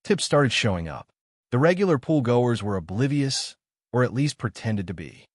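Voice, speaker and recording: A man narrating a true horror story in the first person, with halting, suspenseful delivery in short broken phrases. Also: medium pitch, very animated, dry recording, clean studio sound.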